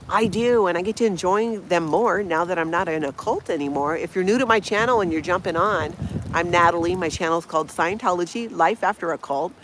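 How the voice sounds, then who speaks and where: A woman's voice: fairly low pitch, a little hiss or hum, rough recording. A woman in her fifties from Hawaii, hosting a casual outdoor live stream, chatting and laughing as she reads viewer comments. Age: 50s. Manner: chatty, laughs often, greets viewers by name. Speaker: channel host. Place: snowy backyard outdoors in Minnesota.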